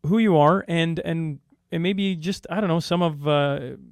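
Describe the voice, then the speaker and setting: A man hosting a podcast, asking questions in a halting way with lots of fillers and restarts. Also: on the high side, no echo, clean studio sound. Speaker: podcast host. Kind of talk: casual interview talk.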